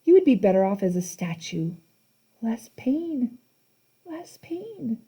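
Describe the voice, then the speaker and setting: A woman's voice: mid-range voice, moderate pace, dark tone, lightly acted. A woman voicing Medusa, a monstrous woman posing as a kind old lady, purring and coaxing.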